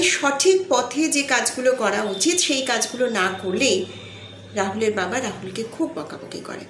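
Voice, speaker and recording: A woman telling a sentimental motivational story in an earnest, deliberate way, pausing between phrases. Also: fairly high pitch, fairly bright tone. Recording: echoey room, faint background noise.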